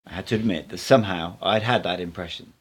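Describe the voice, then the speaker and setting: Male amateur audiobook reader, somewhat low pitch, scripted literary narration, first-person storytelling. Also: quick pace, fairly bright tone.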